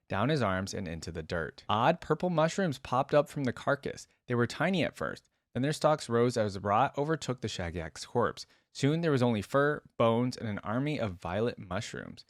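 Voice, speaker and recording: A man's voice: mid-range voice, dry recording, clean studio sound. A man hosting a reaction video, reading a story aloud with casual commentary.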